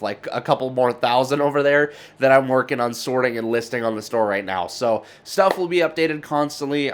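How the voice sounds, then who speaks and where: Male YouTube host, mid-pitched voice. Casual, unscripted channel update, earnest and informal, rambles a little.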